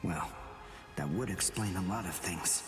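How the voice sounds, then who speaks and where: A man's voice: deep voice, a little slow. A young man playing a brainy, intense teenage spirit archer in an anime game dub, analytical and dramatic. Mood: baffled. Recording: echoey, with game music behind. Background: game music.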